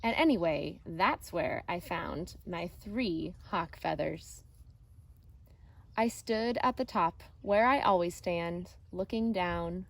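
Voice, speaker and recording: A woman teacher reading a picture book aloud to children in a deliberate, expressive way. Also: on the low side, creaky. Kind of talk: storybook read-aloud narration for children. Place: outdoors.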